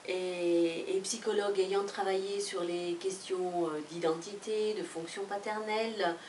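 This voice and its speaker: A woman's voice: medium pitch, fairly even intonation, measured pace, a little mellow, a little hiss or hum. A woman whose first language is Spanish, presenting a book as director of an association, speaking hesitantly with many fillers and restarts. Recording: echoey room from far off.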